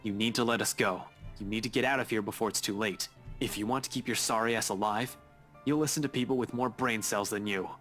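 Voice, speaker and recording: Casting: man doing blunt defiant tough guy for a visual novel, lowish voice, terse, music underneath. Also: fairly quick, fairly bright tone, lightly acted. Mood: urgent, defiant. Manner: terse, threatening, insistent. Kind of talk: dramatic visual novel read-aloud.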